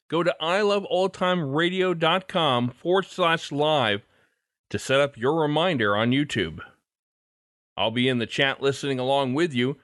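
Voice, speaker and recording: A man's voice: a little high, a bit bright, dry recording, clean studio sound. A man hosting a podcast, reading scripted commentary and announcements. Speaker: podcast host. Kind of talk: scripted podcast narration and sign-off. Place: dry close recording, faint music behind.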